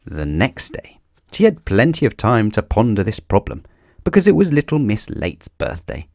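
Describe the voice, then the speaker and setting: A man reading a children's story aloud in an expressive narrating style. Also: lowish voice, normal speed, gravelly, no room sound, clean studio sound.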